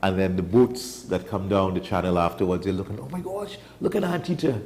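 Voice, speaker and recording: A man's voice: low-pitched, lively intonation, fairly quick, darkish tone. A Trinidadian man from Trinidad and Tobago, an enthusiastic wildlife guest telling stories in a casual studio chat. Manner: enthusiastic, storytelling.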